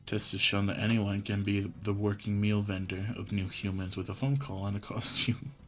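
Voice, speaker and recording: Low-voiced man streaming a game. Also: little pitch movement, moderate pace, fairly bright tone. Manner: swears casually. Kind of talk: casual live stream commentary, reading game text aloud. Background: game music.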